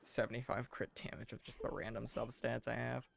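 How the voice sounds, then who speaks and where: A young man giving casual live game commentary, rambling along in a wry, deadpan way. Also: medium pitch, fairly quick, creaky, slight background noise. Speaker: game streamer. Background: faint game music.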